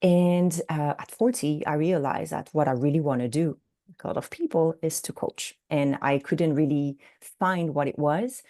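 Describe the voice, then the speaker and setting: A woman in her forties with a French accent speaks over a video call. Her voice sits low and mellow. She searches for her words and restarts phrases as she introduces herself. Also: moderate pace. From France. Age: 40s. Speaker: coaching program participant.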